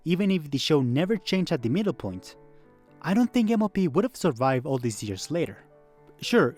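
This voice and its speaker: Higher-pitched man narrating with lively intonation. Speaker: video essay narrator. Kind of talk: scripted narration. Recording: background music under voice.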